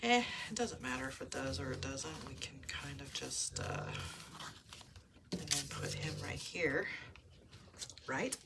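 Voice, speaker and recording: Gravelly woman crafting with a chest cold. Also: a bass voice, wildly swooping pitch, a little slow, pauses often. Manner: muses aloud, drops to near-whispers while working. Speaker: junk journal crafter. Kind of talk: casual crafting chat to viewers. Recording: noisy home recording with clicks.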